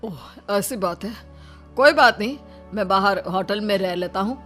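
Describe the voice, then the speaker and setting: A woman's voice: mid-range voice, normal speed, over music, close to an everyday voice. A woman voicing a bewildered, hapless young Indian husband in a cartoon story, plaintive and protesting.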